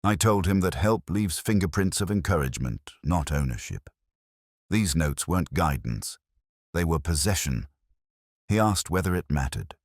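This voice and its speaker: A man reading a scripted first-person detective story in a measured, literary, brooding manner. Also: deep voice, expressive, no room sound, clean studio sound. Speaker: narrator.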